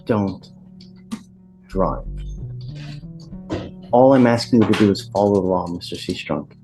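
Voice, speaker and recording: A man's voice: low voice, a little slow, low-quality recording. A man with an American accent speaking as a judge in a courtroom, stern, blunt and colloquial as he addresses people from the bench. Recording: courtroom audio with background music.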